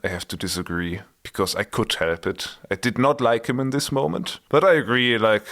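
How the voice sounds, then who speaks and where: A man's voice: mid-range voice, normal speed, fairly bright tone. A man with a German accent chatting casually as a podcast co-host, with dry humor and frequent laughs. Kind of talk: casual chat.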